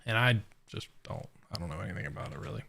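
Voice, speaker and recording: A man livestreaming a game, reacting casually and thinking aloud in a rambling, halting way. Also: fairly low pitch, expressive, vocal fry, bright, forceful tone. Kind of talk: casual live reaction and chat.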